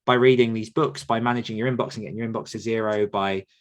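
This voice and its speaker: A man's voice: lowish voice, fairly even intonation, quick pace. A man hosting a podcast, answering questions casually and thoughtfully, feeling his way through sentences and correcting himself. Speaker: podcast host and author coach answering questions. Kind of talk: casual, reflective Q&A talk.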